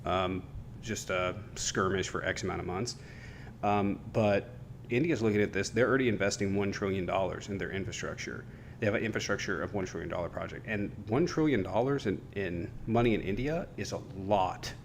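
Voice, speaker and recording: Male livestream panel co-host, fairly deep, casual debating style, faint background noise. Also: fairly quick. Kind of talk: casual political debate chat. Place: livestream audio call.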